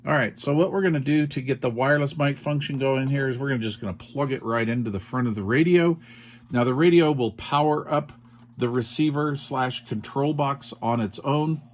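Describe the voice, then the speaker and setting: A man's voice: medium pitch, normal speed. A man explaining things in an informal, step-by-step way, as in a hands-on tutorial, often checking in with the listener. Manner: informal, explanatory, checks in with "all right" and "okay". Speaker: radio product demonstrator.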